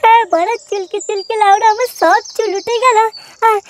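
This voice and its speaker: A man voicing an aggrieved, foul-mouthed cartoon man with a West Bengali accent, whiny and indignant, through a pitched-up effect. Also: fairly even intonation, brisk, a little hiss or hum. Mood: whining. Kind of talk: crude comedy cartoon dialogue.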